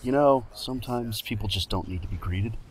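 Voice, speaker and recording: A man co-commentating a video game as a guest, making dry, deadpan quips. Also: on the low side, fairly quick, a little hiss or hum. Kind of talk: casual gameplay commentary.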